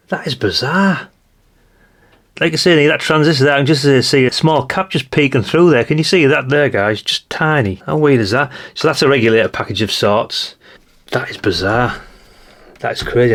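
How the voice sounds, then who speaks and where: A British man with a gravelly voice giving a casual, rambling hands-on commentary as he thinks aloud. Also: medium pitch. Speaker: hobbyist radio channel host.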